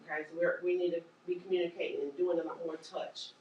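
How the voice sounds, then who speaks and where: Woman trainer, loud and animated, teaching caregivers; roomy distant sound. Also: fairly low pitch, quick pace, muffled recording. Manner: animated, joking, acts out scenes, Southern-style casual grammar. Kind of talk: lively workshop teaching. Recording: echoey room from far off, faint noise.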